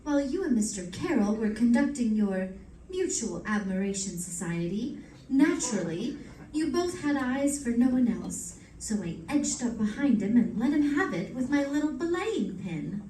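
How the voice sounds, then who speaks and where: A woman's voice: a little high, sing-song, moderate pace, warm tone. A woman playing a poised, witty detective's wife in a live radio play, with a dry, teasing manner. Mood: arch amusement. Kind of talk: live old-time radio drama acting. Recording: roomy stage, distant mic, faint noise.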